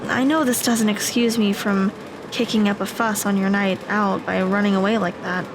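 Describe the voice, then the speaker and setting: A woman playing an earnest, apologetic teenage high school girl in an audio drama. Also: flat delivery, moderate pace, background noise, natural voice.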